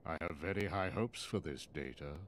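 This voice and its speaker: An actor voicing a stern, wise old wizard master in a video game, speaking formally and gravely in measured phrases. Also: male voice, low-pitched, a bit bright, a little hiss or hum. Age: older. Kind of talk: video game cutscene acting.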